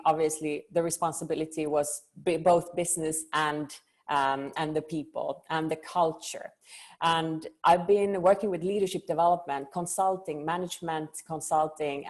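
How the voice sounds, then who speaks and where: A middle-aged woman from Finland, giving an earnest conference presentation and pausing on frequent ums. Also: sits low, darkish tone. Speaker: company founder and conference speaker.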